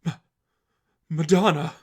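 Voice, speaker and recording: A man's voice: on the high side, steady tone, takes it slowly, darkish tone, breathy edge, voice pushed up. Casting: male reader as fanatical male follower in a dramatic story, fearful, reverent, prone to stammering. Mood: awed frenzy. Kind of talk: dramatic audiobook-style fan fiction reading.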